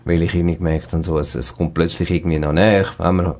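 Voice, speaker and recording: A man's voice: deep voice, little pitch movement, fast talker, darkish tone. A man with a Zurich Swiss German accent, a band musician talking casually in a radio studio interview, often repeating words as he searches for them.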